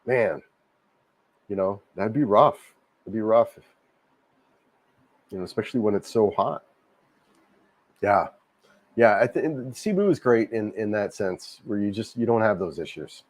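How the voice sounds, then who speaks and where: Slightly hoarse man chatting on a livestream. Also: fairly low pitch, animated, a little slow, long pauses, no room sound. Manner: rambling, repeats himself, frequent restarts. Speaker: livestream host. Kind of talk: casual livestream chat reading viewer comments. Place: home in Cebu, Philippines.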